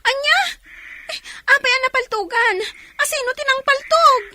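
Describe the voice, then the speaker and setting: Radio drama casting: flustered Filipino little sister, very shrill pitch, forceful edge. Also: female voice, normal speed, exaggerated voice. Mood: startled. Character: flustered younger sister, panicky and eager.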